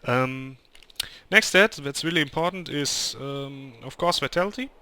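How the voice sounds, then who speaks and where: A man's voice: medium pitch, unhurried, bright, forceful tone, clear, resonant tone. A young German man with a German accent, speaking English as a second language, giving hesitant gameplay commentary. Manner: hesitant, many ums and restarts. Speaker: gamer.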